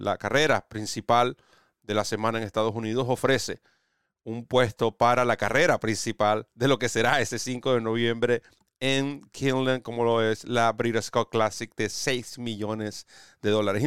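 A man's voice: medium pitch, a bit bright, clean tone, no room sound, clean studio sound. A man hosting a horse racing show in Spanish, presenting and previewing races.

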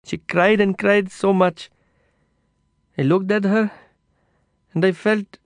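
A man doing a small, good-hearted Indian taxi driver in an audiobook, speaking Indian English with a sing-song, childlike earnestness. The voice sits high and bright above the narrator's, recorded close and dry with no room sound. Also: steady tone, takes it slowly, no echo. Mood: earnest storytelling.

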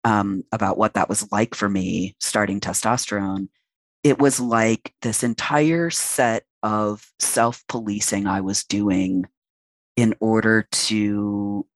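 A man's voice: fairly low pitch, steady tone, slow, dry recording. A transmasculine man in his late forties, a podcast guest speaking frankly and reflectively at length with fillers. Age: late 40s. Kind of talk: candid interview conversation.

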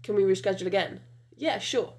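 A woman's voice: medium pitch, fast talker, darkish tone. Rambling British-accented woman host. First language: French. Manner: rambling, many fillers and restarts. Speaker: podcast host. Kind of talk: casual podcast chat.

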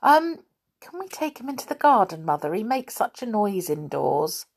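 Woman voicing an earnest young boy, very lively. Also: mid-range voice, normal speed, husky, voice pushed up. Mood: hesitant, polite. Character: young boy, earnest, polite, easily exasperated. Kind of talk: children's storybook character voice.

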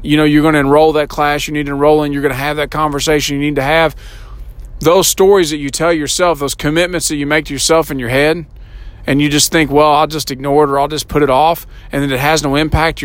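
Hoarse, earnest man hosting a podcast. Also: fairly high pitch, dry recording. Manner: earnest, repeats words and asks "right?". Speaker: podcast host. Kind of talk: solo motivational podcast talk.

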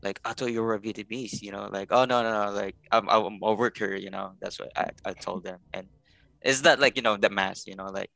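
A man whose first language is Tagalog, chatting casually as a podcast guest, halting and repeating words as he searches for them. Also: lowish voice, fairly even intonation, gravelly, bright, forceful tone, dry recording, rough recording. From Philippines. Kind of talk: casual chat.

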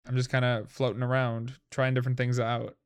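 A twenty-year-old streamer hosting a casual chat, talking quickly and playfully with restarts. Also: medium pitch, level tone, smooth. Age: young adult. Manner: quick, stumbling, playful talk.

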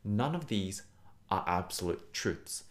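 A man's voice: deep voice, animated, takes it slowly. A man who works as a voice teacher and host, talking conversationally to listeners in an encouraging, emphatic and reassuring way. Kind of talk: conversational singing lesson to listeners.

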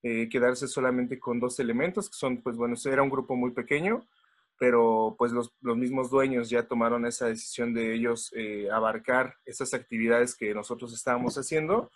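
A man from Mexico City with a Mexican accent, a podcast guest who talks haltingly over a video call. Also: mid-range voice, steady tone. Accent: Mexican Spanish. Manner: halting, many fillers and restarts. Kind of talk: casual chat.

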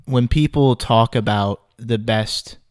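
A man's voice: medium pitch, clear, resonant tone, no echo. A young man co-hosting a casual podcast, trading teasing banter and drawing out emphatic points. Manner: teasing, dry banter, drawn-out emphatic delivery. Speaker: podcast co-host. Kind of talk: casual chat.

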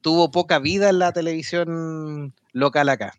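A man co-hosting a casual anime podcast, chatty and quick to laugh, often restarting his sentences. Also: fairly high pitch, no echo. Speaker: podcast co-host. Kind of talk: casual anime talk with co-hosts.

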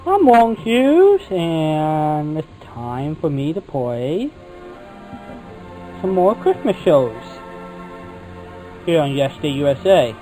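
Slow-talking man hosting, swooping pitch. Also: very animated, dark tone, soft background music, rough recording. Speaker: radio show host. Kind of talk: introducing old radio programs.

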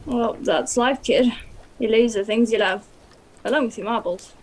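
A woman's voice: on the high side, normal speed, natural voice, poor audio. A woman playing an eccentric old grandmother in a stage duologue, delivering dry, sardonic quips. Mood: wry.

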